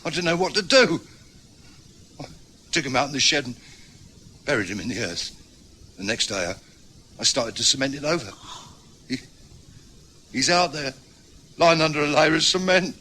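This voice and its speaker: A man acting a panicked, guilt-ridden husband in an old radio drama, agitated and halting. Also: fairly high pitch, animated, bright, forceful tone, a little hiss or hum. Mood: anguished confession. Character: panicked husband confessing a hidden killing.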